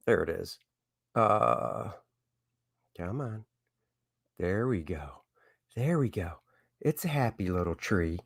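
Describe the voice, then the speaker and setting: A man chats as a livestream host, easygoing and rambling, with a middling pitch. The sound is dry and close, and he talks informally, as if to regulars. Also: vocal fry, no room sound. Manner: easygoing, rambling, reads and answers chat. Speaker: comic artist hosting a livestream. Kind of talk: casual livestream chat to viewers.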